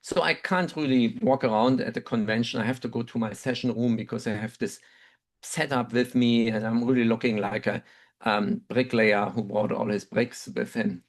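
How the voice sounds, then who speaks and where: A middle-aged man with a German accent and German as his first language, telling a personal story in a measured, reflective way with frequent pauses and ums. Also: mid-range voice, steady tone. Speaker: webinar speaker, dental researcher.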